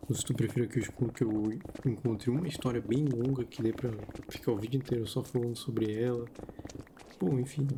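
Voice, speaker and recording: Brazilian man, gravelly fry in the voice, casual storytelling, chatty asides, light background noise. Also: mid-range voice, mellow. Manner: informal, conversational asides. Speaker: host of a story-reading video channel.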